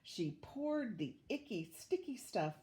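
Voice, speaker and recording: Older woman, animated, mellow storybook reader. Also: medium pitch, slow. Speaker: storybook reader, retired teacher. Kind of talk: expressive read-aloud of a rhyming children's story.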